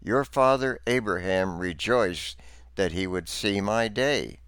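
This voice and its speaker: A man delivering scripted religious teaching narration and scripture readings in a formal, deliberate, instructive manner. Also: lowish voice, a bit bright, smooth, no room sound.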